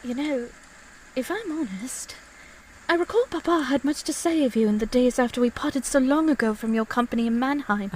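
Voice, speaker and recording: Woman acting high-voiced, genteel young lady. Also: moderate pace, slight background noise, lightly acted, low-quality recording. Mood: sincere, honored. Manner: polite, formal, old-fashioned phrasing. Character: genteel young lady, tender, sincere, quietly admiring. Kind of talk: period audio drama acting.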